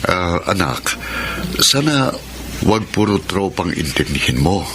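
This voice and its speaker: A man's voice: low voice, very animated, a little slow, background noise. An actor playing a firm, protective middle-aged father in a radio drama, speaking earnestly. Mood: earnest coaxing.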